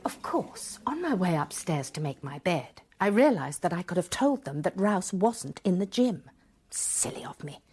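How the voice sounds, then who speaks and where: An actress playing an observant, self-deprecating woman who narrates a radio drama in a reflective, expressive way. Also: on the low side, normal speed, husky. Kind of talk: first-person radio drama narration and dialogue.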